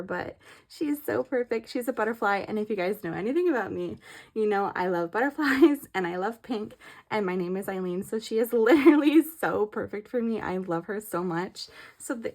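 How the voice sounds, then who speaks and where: A young woman chatting casually as a vlogger, giggly and gushing, her throat a little scratchy from allergies. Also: medium pitch. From California. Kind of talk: casual chat.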